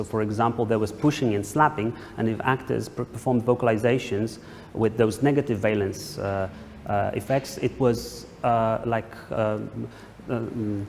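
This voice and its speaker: A man's voice: medium pitch, a little mellow. Guy answering questions at an academic talk, lots of stops and starts, big echoey hall with some noise. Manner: hesitant, halting delivery.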